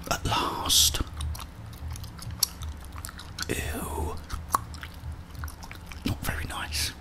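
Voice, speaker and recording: Whispering British man, slow and hushed. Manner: murmured reactions in short phrases. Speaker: gaming streamer. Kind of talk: whispered ASMR game commentary.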